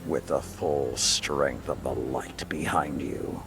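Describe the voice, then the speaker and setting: An actor playing a stern old war commander in a video game, with a gravelly voice and a grave, authoritative delivery. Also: male voice, a bass voice, steady tone, slow, whispered, exaggerated voice. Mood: solemn resolve. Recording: loud music bed behind voice.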